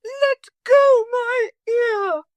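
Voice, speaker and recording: Woman doing a rude woman, shrill, screeching, very high. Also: very animated, unhurried, dry recording. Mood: shrieking. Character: rude shrill woman intruder, bad-tempered.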